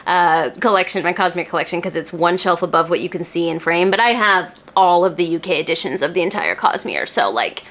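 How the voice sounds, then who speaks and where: A woman talking casually to camera as a book reviewer, in an animated, emphatic, chatty way. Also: lowish voice, brisk, few pauses. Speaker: book reviewer and video host.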